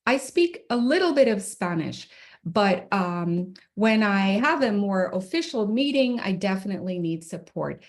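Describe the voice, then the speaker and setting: A middle-aged woman walks parents through slides on a video call, talking in an easy, informal way and pausing on ums. Her pitch sits in the middle of the range for a woman. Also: very animated. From Ireland. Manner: friendly, informal presenting with frequent ums. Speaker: special education administrator. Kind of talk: presenting slides at an online parent meeting.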